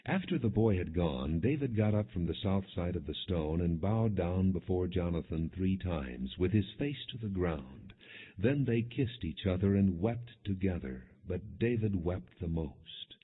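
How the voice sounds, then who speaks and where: Deep-voiced male scripture narrator, muffled narrowband audio. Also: expressive, unhurried, breathy edge.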